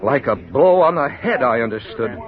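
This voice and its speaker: An actor playing a bewildered, frightened man recounting a strange ordeal in intense, halting radio drama narration. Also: very animated, a crowd in the background, close to an everyday voice. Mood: tense, dazed. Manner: intense, halting, repeats words in disbelief. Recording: muffled, noisy old radio recording with rumble.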